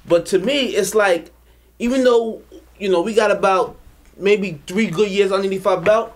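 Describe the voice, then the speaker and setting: A man's voice: high voice, moderate pace. A man from a sound system talking casually in an interview, reflective and hesitant, with many fillers.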